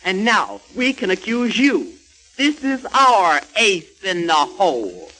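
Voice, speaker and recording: A man's voice: high-pitched, huge pitch swings, slow pace, loud music behind the voice, heavily acted, rough recording. An actor playing an earnest, bold American boy hero in an old-time radio serial. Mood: earnest, challenging.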